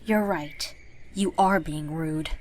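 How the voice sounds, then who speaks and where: A woman's voice: sits low, slow, a little mellow. A woman playing a guarded, irritable young survivor in a zombie apocalypse audio drama, with a blunt, curt way of talking. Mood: angry, defensive. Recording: slightly noisy amateur recording with ambience.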